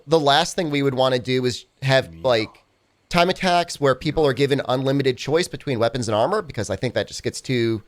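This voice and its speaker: A young man with a phlegmy cold voice, chatting casually with his stream while he plays, full of "I mean" and "like". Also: mid-range voice. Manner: rambling, lots of "I mean" and "like". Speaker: speedrun streamer.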